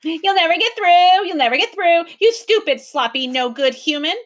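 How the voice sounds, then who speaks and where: A woman voicing a gang of jeering, furry green swamp creatures who chant and mock in a shrill, sing-song way. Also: animated, moderate pace.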